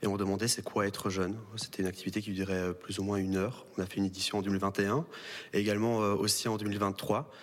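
Deep-voiced young man in an echoing hall. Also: level tone, quick pace. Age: young adult. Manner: hesitant, many fillers. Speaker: panel guest. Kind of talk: public panel talk. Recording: long hall reverb.

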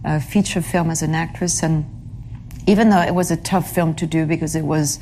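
A woman with a notably low voice answers interview questions in a reflective, halting way. She pauses, reaches for words and fills the gaps with small hesitations. A faint hiss sits under her voice. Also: fairly even intonation, mellow. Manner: halting, searches for English words, many fillers. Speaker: actress being interviewed.

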